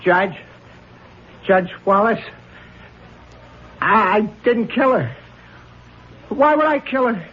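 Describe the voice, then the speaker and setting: A man's voice: high voice, lively intonation, slow, long pauses, husky, full character voice. An actor playing a frightened young defendant, stammering and pleading his innocence in anguish in a 1970s radio drama. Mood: desperate pleading. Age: young adult. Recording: muffled 1970s radio recording.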